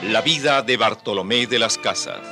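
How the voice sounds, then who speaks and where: A man's voice: on the low side, moderate pace, over loud music. A man announcing a radio drama's titles in a dramatic, scripted style.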